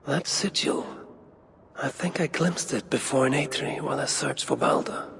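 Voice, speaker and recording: An actor playing a shrewd, gruff Norse god in a game cutscene, speaking in a deliberate, sardonic, investigative manner. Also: male voice, mid-range voice, a bit bright, slight background noise. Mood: pensive. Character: shrewd Norse god searching for his son, gruff and resolute. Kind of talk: dramatic game cutscene acting.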